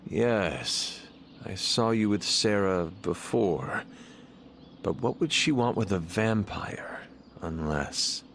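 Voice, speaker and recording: An actor playing a stern, solemn half-demon monster hunter in a video game, speaking in formal, archaic phrases. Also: male voice, low-pitched, lively intonation, takes it slowly, fairly bright tone, slight background noise, heavily acted. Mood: stern, wary. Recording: music behind the voice.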